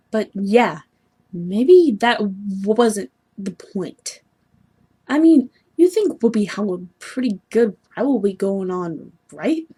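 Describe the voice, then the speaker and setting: A woman's voice: medium pitch, darkish tone, husky, heavily acted. A woman voicing a haughty young male sea troll who schemes and sulks, pompous and petulant, in amateur webcomic acting. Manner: pompous, petulant, self-pitying.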